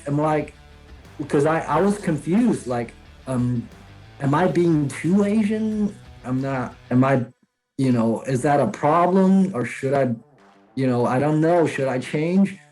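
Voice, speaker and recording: A man from China with a Chinese accent, a guest comedian chatting casually and telling stories with many pauses and fillers. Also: on the high side, faint music behind, low-quality recording. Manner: hesitant, many fillers, animated storytelling. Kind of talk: casual chat.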